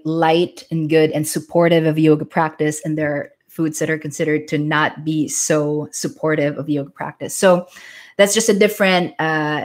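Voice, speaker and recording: A woman in her forties, a yoga teacher from the Philippines, talking frankly and casually to viewers on a live stream, often restarting her sentences. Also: low-pitched. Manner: chatty, frank, restarts sentences, laughs lightly.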